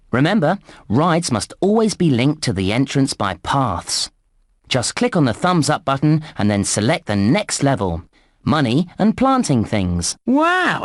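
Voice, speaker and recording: An upbeat theme park helper for a kids game, super lively pitch, encouraging, dry close sound. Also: male voice, no room sound. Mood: encouraging, instructive. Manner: encouraging, instructive, clearly enunciated. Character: cheerful, encouraging male theme park guide. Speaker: game narrator and tutorial guide. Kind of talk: scripted children's game narration.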